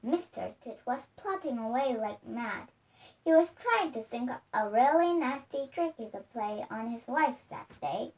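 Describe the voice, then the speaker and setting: Young girl, storybook read-aloud, slow and careful, halting, distant mic. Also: fairly high pitch, animated, darkish tone. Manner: careful, halting reading with misreadings. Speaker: reader. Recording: distant microphone.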